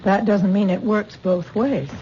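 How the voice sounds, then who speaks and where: A woman's voice: mid-range voice, measured pace, mellow, natural voice. A woman playing a blunt, skeptical housemate. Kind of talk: 1970s radio drama acting. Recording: dull old radio broadcast with faint hiss.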